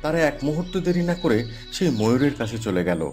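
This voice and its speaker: A man's voice: medium pitch, very animated, fast, a little mellow, faint music behind. A man giving scripted narration for a children's fairy tale cartoon.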